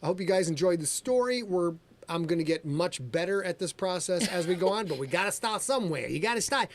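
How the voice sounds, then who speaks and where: A man's voice: high-pitched, lively intonation, a little fast. A man co-hosting a casual livestream, joking around with crude banter and teasing his wife. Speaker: podcast co-host.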